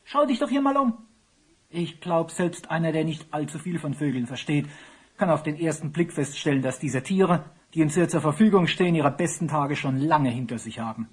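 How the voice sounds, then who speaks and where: A man playing a worried, grumbling royal falconer in a children's radio play, complaining theatrically in a husky voice. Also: on the high side, lively intonation, moderate pace.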